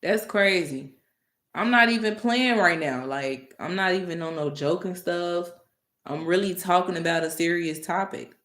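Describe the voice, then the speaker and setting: A woman hosting a live stream, talking casually and directly to her chat, animated and exasperated with commenters. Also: on the low side, moderate pace, echoey room.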